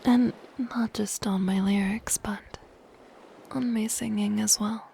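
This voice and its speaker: Slow whispering siren, acted by a woman. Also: mid-range voice, fairly even intonation. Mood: hopeful, shy. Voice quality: soft, breathy whisper. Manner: slow, gentle, intimate delivery. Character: soft-spoken possessive siren, curious, lonely, monster girl. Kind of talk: ASMR roleplay. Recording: close whisper under ocean surf noise. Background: ocean waves and surf.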